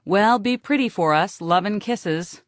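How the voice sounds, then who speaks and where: A woman's voice: medium pitch, a little slow, dry recording, voice pushed up, natural voice. Woman reader doing audiobook mom, middle-aged, breezy, sweetly dismissive, dry studio sound. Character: breezy, emotionally distant middle-aged mother writing letters. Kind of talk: audiobook character reading.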